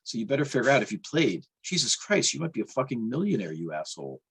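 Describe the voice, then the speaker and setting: Man as exasperated office woman, sardonic, sweary, incredulous, pitched up, husky, novel reading. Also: very animated, fast talker, fairly bright tone, exaggerated voice.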